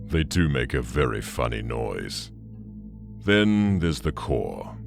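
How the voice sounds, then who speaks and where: An actor playing an ancient, bitter demon conqueror in an audio drama, brooding and contemptuous in a menacing monologue. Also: male voice, rumbling low, very animated, gravelly, soft background music, heavily acted. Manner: slow, menacing, brooding delivery.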